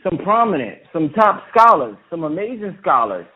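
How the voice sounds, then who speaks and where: A man's voice: sits high, big pitch swings, moderate pace. Hesitant man on a tinny phone line. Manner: hesitant, many fillers. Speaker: host. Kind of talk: casual interview chat.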